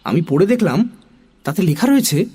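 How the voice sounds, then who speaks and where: A man playing a wide-eyed, curious young storyteller, narrating a children's nonsense story in an animated way. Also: high voice, normal speed, noisy background.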